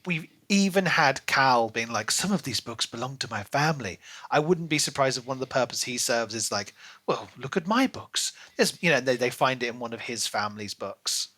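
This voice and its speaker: A man's voice: mid-range voice, animated, moderate pace, hardly any pauses, bright, forceful tone. A man co-hosting a podcast, chatting casually about books with emphatic, opinionated phrasing. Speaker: podcast co-host. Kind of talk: casual book discussion.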